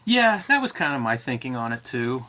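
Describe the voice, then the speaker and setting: A man's voice: mid-range voice, sing-song, fairly quick, bright, forceful tone. A man co-hosting a review show, thinking aloud in casual, conversational analysis. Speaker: co-host of a comics review show.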